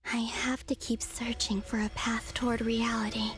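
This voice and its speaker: An actress voicing a careful, determined young woman in a soft, breathy game monologue. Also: fairly high pitch, flat delivery, normal speed, natural voice. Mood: quietly resolute. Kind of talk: video game inner monologue. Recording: game audio under loud music.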